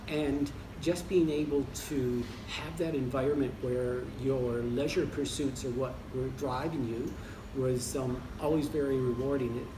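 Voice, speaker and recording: A semi-retired American man in his sixties, answering interview questions in a relaxed way with frequent pauses and restarts. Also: medium pitch. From United States. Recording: roomy, distant mic with background noise.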